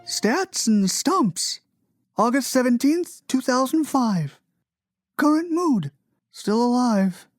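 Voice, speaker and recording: A woman playing a lonely, morose cartoon blogger in a dramatic reading, deadpan and oddly earnest. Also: fairly high pitch, takes it slowly, dry recording, soft background music, exaggerated voice. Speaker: performer in a podcast dramatic reading. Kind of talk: dramatic reading of a comic blog.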